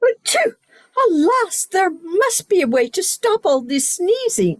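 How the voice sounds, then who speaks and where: A woman's voice: very high-pitched, big pitch swings, unhurried, heavily acted. A woman playing a stern, indignant noble lady and mother in a comic radio play, theatrical and exclamatory. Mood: dismayed.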